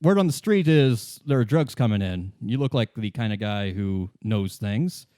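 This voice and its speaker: A man's voice: lowish voice, expressive, normal speed, mellow, no echo, clean studio sound, lightly acted. A man voicing a bad cop in tabletop roleplay, casually insinuating and slyly threatening.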